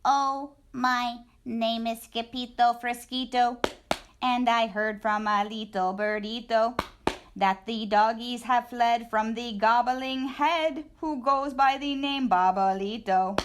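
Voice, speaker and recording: A woman's voice: fairly high pitch, animated, slow, a bit bright, voice pushed up. Bragging little boy kitten in a storybook, sing-song and swaggering, as a woman reader puts him on. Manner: boastful, sing-song, mock Spanish flourishes. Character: boastful young male kitten playing chihuahua.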